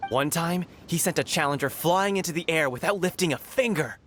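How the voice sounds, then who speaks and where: Eager, higher-voiced young martial student. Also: male voice, brisk, a bit bright. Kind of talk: video game voice acting.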